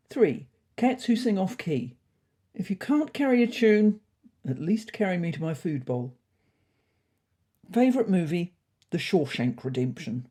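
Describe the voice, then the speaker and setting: A woman's voice: mid-range voice, mellow. A woman voicing a pompous, fussy male cat with sophisticated pretensions, in a comic audio storybook. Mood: fussy, haughty, then smooth, self-satisfied. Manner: pompous, self-satisfied.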